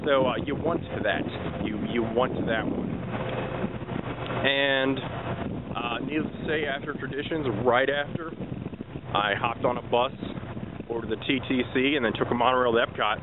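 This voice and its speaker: A man's voice: mid-range voice, fairly even intonation, normal speed. A young man rambling casually through a walking vlog, joking at himself while he strolls outdoors. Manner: chatty, rambling, self-joking asides. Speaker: vlogger. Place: outdoors at a theme park resort, walking. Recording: tinny lo-fi audio with outdoor noise.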